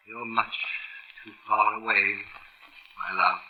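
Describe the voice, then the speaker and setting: An actor playing a sinister, seductive lover back from the dead in a 1940s radio horror drama. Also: male voice, on the low side, flat delivery, slow. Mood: eerie, beckoning. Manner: coaxing, commanding. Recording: dull, noisy vintage radio recording.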